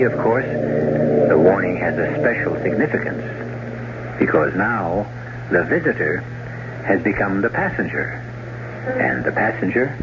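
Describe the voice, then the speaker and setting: A man hosting and narrating a radio mystery in a measured, dramatic, wry and suspenseful style. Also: lowish voice, very noisy. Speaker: host and narrator. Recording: muffled old radio recording. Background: loud music.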